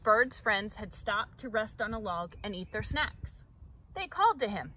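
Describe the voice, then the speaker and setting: A woman reading a picture book aloud for children, narrating in an expressive, deliberate storytelling way. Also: medium pitch. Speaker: storybook reader.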